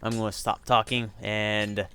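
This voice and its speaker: A man's voice: lowish voice, level tone, a little slow, sharp tone, clear, resonant tone, dry recording. A man giving rambling, self-correcting let's-play commentary, his voice strained from getting sick. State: voice strained from illness. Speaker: gaming commentator.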